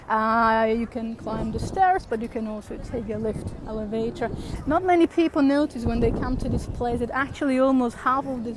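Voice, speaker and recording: A woman's voice: on the high side, a little mellow, low-quality recording. Woman guiding a walking tour. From Slovakia. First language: Slovak. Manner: friendly, hesitant with many fillers and restarts. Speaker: tour guide. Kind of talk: live virtual walking tour, speaking to camera. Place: outdoors on a city square with street noise. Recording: faint street noise outdoors.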